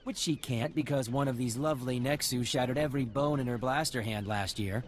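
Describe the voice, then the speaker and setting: A man playing a weary, sardonic field colleague in a video game, with dry deadpan sarcasm. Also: mid-range voice, brisk, slight background noise, close to an everyday voice. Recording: game music underneath.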